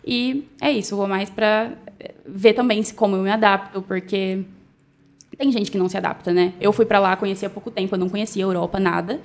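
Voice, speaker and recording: A woman's voice: medium pitch, creaky. A woman guest, a doctor, chatting casually in an interview, hesitant with many restarts.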